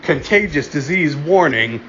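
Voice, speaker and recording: A man playing a solemn emergency alert announcer in an amateur mock broadcast, deliberate and halting, restarting his phrases. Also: on the high side, lively intonation. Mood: urgent warning. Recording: dull lo-fi home recording, noticeable background hum.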